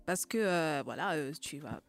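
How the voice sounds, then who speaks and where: A woman's voice: deep voice, expressive, normal speed, creaky, soft background music. A woman from Côte d'Ivoire with an Italian-tinged Ivorian accent, a radio journalist chatting playfully in the studio. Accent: Italian-tinged Ivorian French. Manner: playful, self-mocking. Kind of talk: studio chat.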